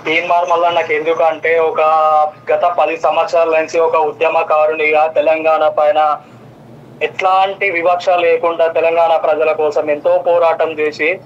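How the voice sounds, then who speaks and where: A man's voice: on the high side, flat delivery, moderate pace. A man with a Telangana Telugu accent phones a live show as a caller, making his case emphatically in long sentences. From Yadadri district, Telangana, India. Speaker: phone-in caller, graduate voter. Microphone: telephone. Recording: dull, noisy telephone line.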